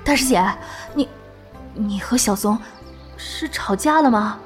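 A woman's voice: fairly high pitch, measured pace, soft background music, close to an everyday voice. A woman playing a polite, inquisitive young online gamer, a deferential junior guild member in an audio drama. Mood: curious. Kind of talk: modern audio drama acting.

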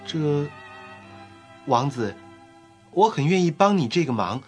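A little fairy-tale swallow, played by a man, with a voice on the high side. The bird sounds earnest, kindhearted and loyal, as in a storybook drama. Soft music runs faintly behind. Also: big pitch swings, takes it slowly. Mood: reluctant, concerned.

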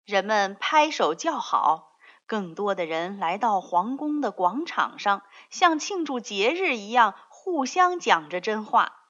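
A woman narrating a scripted fairy tale for young children in an expressive storytelling manner. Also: medium pitch, a little slow, lo-fi sound.

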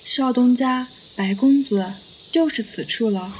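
A woman's voice: on the high side, measured pace, darkish tone, slight background noise, lightly acted. A woman playing a polite young maidservant in a period audio drama, speaking deferentially. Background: faint music.

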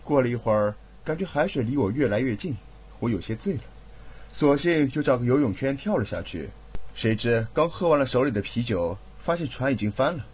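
A man's voice: mid-range voice, expressive, a little fast, darkish tone, husky. An actor playing a tipsy, laid-back young man adrift at sea in an audio drama, wry and casual in manner. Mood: breezy, tipsy.

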